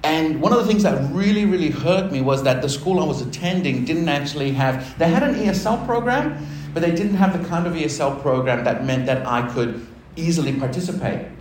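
A man's voice: a little high, animated, brisk, hardly any pauses, darkish tone, a little hiss or hum. Man preaching in a roomy church. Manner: conversational, self-deprecating, laughing with the congregation. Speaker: pastor. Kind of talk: personal testimony sermon. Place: church hall. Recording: roomy church hall sound.

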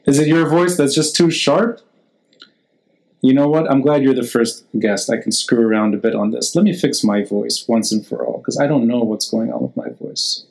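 A man's voice: medium pitch, normal speed, creaky, darkish tone, poor audio. A man hosting an unscripted live interview on a video call, talking informally and hesitantly, thinking aloud as he goes. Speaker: podcast host.

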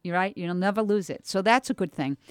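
A middle-aged woman hosts a relaxed, chatty interview with young performers. She is effusive and encouraging, speaking at an ordinary pitch. The sound is close and dry, like a studio. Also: fairly quick, clear, resonant tone, no echo, soft background music. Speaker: radio host and voice teacher interviewing young performers. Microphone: studio microphone with headsets.

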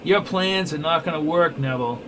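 Guy doing a calm sure-of-himself captive, bit high voice, hissy noise behind. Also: moderate pace, natural voice. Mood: assured.

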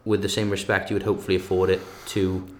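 Really deep guy chatting, lots of restarts, bit of hiss behind. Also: level tone, quick pace. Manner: hesitant, many restarts, emphatic bursts. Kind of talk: casual academic discussion.

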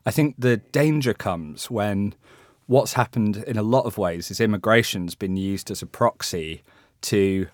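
A man's voice: lowish voice, a little slow, no room sound, studio quality. Thoughtful man with a Yorkshire accent. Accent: West Yorkshire. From England. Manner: hesitant, conversational, thoughtful. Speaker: guest. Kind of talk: panel discussion.

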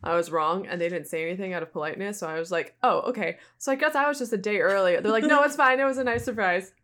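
A young woman with a medium-pitched voice talks in a quiet, soft-spoken way. She co-hosts a casual podcast chat with dry, laid-back humor. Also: fast. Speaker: podcast co-host.

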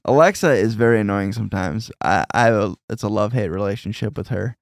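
Laid-back low-voiced podcast host. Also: male voice, quick pace, vocal fry, no room sound, clean studio sound. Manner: laid-back, laughs easily. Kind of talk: casual podcast chat.

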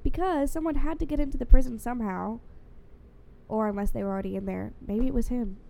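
A young woman talks in an easygoing, casual way, her voice mellow and a little stuffy from congestion. When she is sure of something she gets worked up and her pitch jumps. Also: fast talker, creaky, a little hiss or hum. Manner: animated, rises sharply in pitch when emphatic. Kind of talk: casual chat.

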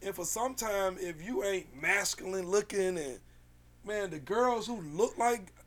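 A man with a raspy voice telling a story in casual, slangy podcast chat, animated and swearing freely. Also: high voice, a little slow.

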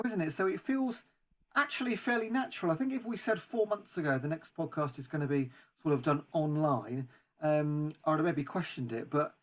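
A man with a fairly high voice chats as a podcast co-host. His delivery is informal and conversational, like talk between two regular hosts. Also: a bit bright. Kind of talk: casual football podcast chat. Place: remote online recording.

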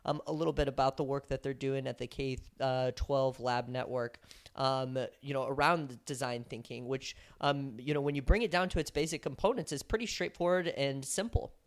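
Woman co-host, very low pitch, chatty and filler-heavy. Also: fairly even intonation, normal speed. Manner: chatty, lots of "like" and "um". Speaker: podcast co-host. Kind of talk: casual chat.

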